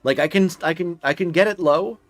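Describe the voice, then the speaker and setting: A man's voice: on the high side, a little fast. A young man with a slightly nasal voice streaming live, chatting casually and rambling with lots of filler words and restarts. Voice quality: a bit nasal.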